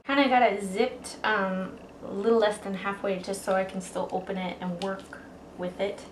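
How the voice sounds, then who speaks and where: A woman's voice: mid-range voice, little pitch movement, normal speed. A woman hosting a craft tutorial, talking viewers informally through each step. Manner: informal, addresses viewers as guys. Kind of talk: casual step-by-step how-to instruction. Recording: echoey room with faint background noise.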